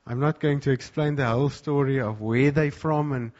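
A man introduces guests to a church gathering in a relaxed, informal way. His voice sits at an ordinary pitch. The recording sounds dull and lo-fi. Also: normal speed. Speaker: church host.

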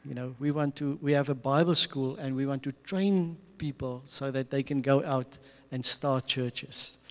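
A man's voice: medium pitch, fairly even intonation, unhurried. An Afrikaans-accented man talks earnestly through a slide presentation for a church audience. He often restarts and repeats his words, chuckling between thoughts. His voice rings with a long reverb from a large hall. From South Africa. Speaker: mission speaker.

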